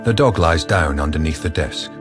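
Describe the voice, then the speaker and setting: A man's voice: rumbling low, expressive, normal speed, over music. A man narrating a radio drama, setting the scene in scripted prose. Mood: even.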